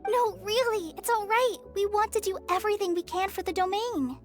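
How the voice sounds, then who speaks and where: Kind girl, very high voice. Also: fairly quick. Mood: reassuring. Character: kind, helpful, girlish young woman. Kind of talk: anime video game voice acting. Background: game music.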